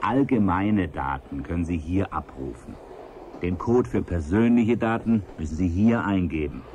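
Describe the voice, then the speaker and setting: A helpful, jocular male train conductor in a vintage radio play. His voice sits fairly low and he chats readily. Clear noise is heard behind him. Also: lively intonation, normal speed, darkish tone. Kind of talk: 1980s German radio play acting.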